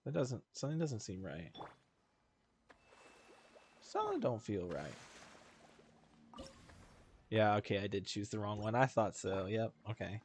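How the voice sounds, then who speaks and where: Low-voiced man rambling over video game play. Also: huge pitch swings, takes it slowly, long pauses, slight background noise. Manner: rambling, thinking aloud. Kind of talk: casual gameplay commentary.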